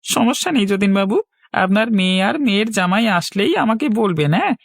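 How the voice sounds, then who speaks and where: Guy doing an honest cartoon street seller, creaky voice, polite pitch to buyers, dry sound. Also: animated, fairly quick, dry recording. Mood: friendly, eager. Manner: polite, persuasive. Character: earnest, honest male date-palm sap seller. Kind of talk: cartoon voice acting.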